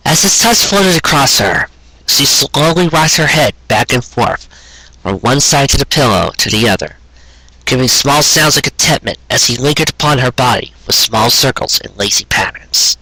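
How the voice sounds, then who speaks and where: A man's voice: medium pitch, lively intonation, normal speed, pushed and bright, slightly rough, dry recording, low-quality recording. A man narrating a scripted story in an earnest, sentimental manner. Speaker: narrator reading fan fiction.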